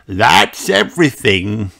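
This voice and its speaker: A man's voice: mid-range voice, big pitch swings, slow, gravelly, bright, forceful tone, full character voice. A man voicing a friendly, easygoing grandfather pig.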